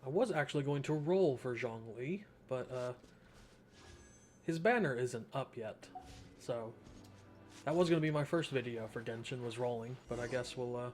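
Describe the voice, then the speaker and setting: A man's voice: medium pitch, moderate pace, a little mellow, faint music behind. A young man giving casual, slangy, joking let's-play commentary as a gaming streamer.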